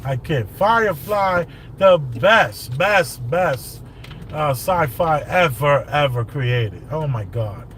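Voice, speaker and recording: A man's voice: fairly high pitch, expressive, slow pace. A man, the host, chatting casually while driving, joking and emphatic about what he likes. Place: in a car. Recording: loud road noise from car. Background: loud traffic and car noise.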